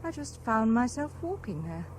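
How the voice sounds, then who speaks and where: A woman's voice: on the high side, very animated, moderate pace, faint music behind. An actress playing a dreamy, fragile, well-bred young woman in a 1970s radio drama. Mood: bewildered, troubled. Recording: noisy old 1970s radio broadcast.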